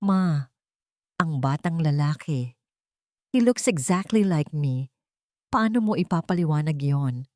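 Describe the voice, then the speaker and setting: A woman's voice: deep voice, expressive, takes it slowly, pauses often, a little mellow, no room sound, studio quality. Woman voicing a weary, conflicted rich man. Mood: doubtful.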